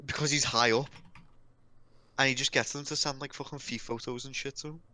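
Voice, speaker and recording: A man's voice: medium pitch, steady tone, brisk, pushed and bright. A man chatting casually as a participant on a group podcast voice call. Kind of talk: casual chat.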